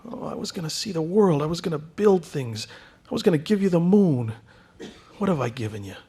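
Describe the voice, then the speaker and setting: A man playing a husky, earnest young husband. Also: fairly high pitch, very animated, fairly quick, warm tone, heavily acted. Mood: dejected. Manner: earnest, stammering when flustered. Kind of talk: radio play acting.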